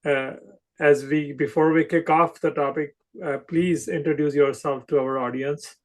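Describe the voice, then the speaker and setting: A middle-aged man hosting a podcast, with a speaking voice a little higher than most men's. He talks casually with his guest, often hesitating and restarting his sentences. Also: fairly even intonation, moderate pace. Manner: hesitant, with many restarts and fillers; emphatic, scripted show intro. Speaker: podcast host. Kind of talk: casual interview chat.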